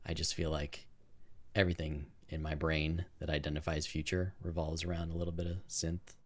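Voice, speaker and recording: A man's voice: rumbling low, little pitch movement, brisk. A man co-hosting a podcast, talking casually and informally with plenty of enthusiasm. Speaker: podcast co-host and amateur theme music maker. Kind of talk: casual chat.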